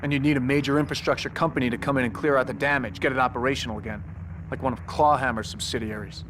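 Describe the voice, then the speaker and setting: A man playing a brisk Navy SEAL commander in a military video game, clipped and authoritative. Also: medium pitch, fairly even intonation, noisy background, lightly acted. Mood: grimly focused. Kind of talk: cinematic military game acting. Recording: music behind the voice.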